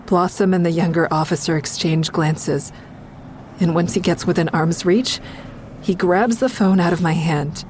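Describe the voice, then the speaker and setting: A woman's voice: on the low side, measured pace, a little mellow, slight background noise, lightly acted. An actress playing a defiant, distraught young woman who narrates her own story in an audio drama. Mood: tense narration. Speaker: narrator and lead character.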